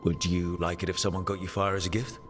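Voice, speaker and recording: A man playing a calm, wry hero who leads a party in a video game cutscene, talking encouragingly. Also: low-pitched, fast talker, faint music behind, natural voice. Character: steady male hero leading a party. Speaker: player character.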